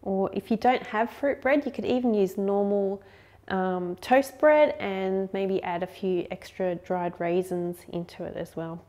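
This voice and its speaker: A woman's voice: mid-range voice, steady tone, measured pace, mellow. A woman in her thirties hosting a cooking show, chatting upbeat and explaining each step in her home kitchen. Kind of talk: friendly recipe demonstration.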